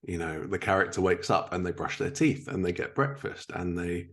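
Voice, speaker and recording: A man with a low-pitched voice hosts a podcast interview. He talks casually and in an agreeable, affirming way as he leads the conversation. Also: lively intonation, normal speed, fairly bright tone. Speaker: podcast host.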